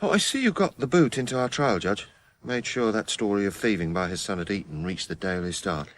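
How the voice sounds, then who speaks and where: An actor playing an earnest, worried police detective in a radio crime drama, cautious but insistent, sometimes hesitant. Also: male voice, fairly low pitch, expressive, a little fast, slight background noise, lightly acted.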